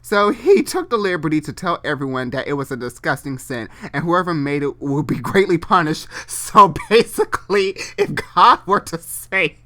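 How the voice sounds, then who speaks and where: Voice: mid-range voice, very animated, normal speed, hardly any pauses, pushed and bright. A person doing a comedic dramatic reading of amateur online fiction, theatrical and unfiltered, breaking off into casual asides and re-reading stumbled phrases. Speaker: reader and host.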